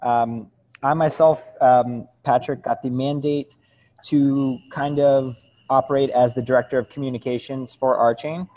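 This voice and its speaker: A man introducing a call as director of communications, speaking hesitantly with frequent pauses. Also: mid-range voice, darkish tone. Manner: hesitant, many ums. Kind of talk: meeting introduction. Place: video call.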